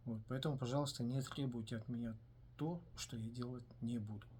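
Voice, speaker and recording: A man giving a solo video commentary in a measured way, pausing often with hesitant filler sounds between phrases. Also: mid-range voice, fairly even intonation, darkish tone, slight background noise. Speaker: commentator on his own video channel. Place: based in the United States.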